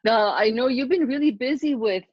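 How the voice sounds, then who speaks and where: A middle-aged woman from Germany hosting a podcast interview, excited and effusive as she introduces her guest. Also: a little high, fairly quick, dry recording. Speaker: podcast host. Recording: dull dry lo-fi sound.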